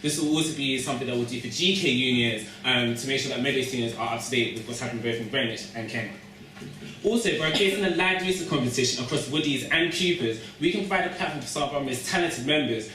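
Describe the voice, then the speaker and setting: A young man delivers a student hustings speech. He is picked up from well away in a very echoey room, with clear background noise over the recording. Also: medium pitch, quick pace, pushed and bright. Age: young adult. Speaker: student union candidate. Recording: roomy, echoey, distant mic, noisy.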